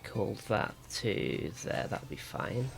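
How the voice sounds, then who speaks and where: A man with a sore throat and slightly rough voice, thinking aloud as he gives casual commentary on a card game. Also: on the low side, big pitch swings, slow, a little hiss or hum. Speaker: gaming video host.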